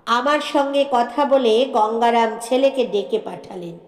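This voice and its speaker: A woman's voice: on the high side, unhurried. A woman narrating a scripted short story as a storyteller. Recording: echoey room with long reverb.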